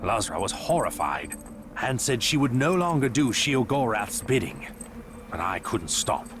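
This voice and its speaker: A man's voice: mid-range voice, sing-song, measured pace, background noise. An actor playing a sombre, guilt-ridden father narrating a fantasy game tale in a grave, regretful manner. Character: sombre, guilt-ridden father recounting his dark bargain.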